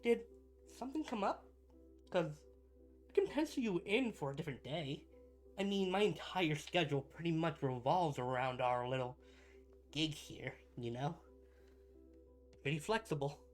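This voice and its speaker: Man acting a theatrical, high-pitched, soft-hearted supervillain. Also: lively intonation, lightly acted. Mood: breezy, eager. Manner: dramatic, earnest, halting. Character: theatrical, needy but kind-hearted male supervillain. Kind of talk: roleplay ASMR monologue to the listener. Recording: music behind the voice. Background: background music.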